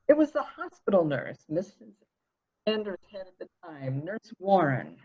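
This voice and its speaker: A woman's voice: on the low side, wildly swooping pitch, normal speed, muffled recording. A woman playing an evasive, protective woman in a murder mystery reading, defensive and primly indignant. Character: evasive, protective woman guarding an excitable boy.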